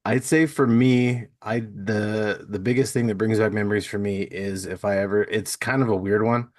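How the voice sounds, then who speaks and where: A man with a fairly low voice hosts a casual interview podcast. He talks in an informal, chatty way, and the sound is dry and close, with little room in it. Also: fairly even intonation, normal speed, no room sound. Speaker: podcast host.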